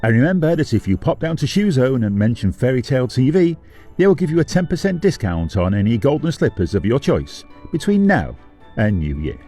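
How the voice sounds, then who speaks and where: An actor playing a polite fairytale TV channel presenter, linking items in spoof continuity style. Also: male voice, medium pitch, very animated, a little fast, warm tone, faint music behind. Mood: polite, announcing.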